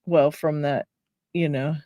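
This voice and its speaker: A woman's voice: sits low, steady tone, slow, warm tone, dry recording, studio quality. A woman with a Pittsburgh accent, hosting a casual live stream and chatting with viewers while she reads names from chat and laughs often. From Pennsylvania, USA. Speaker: livestream host.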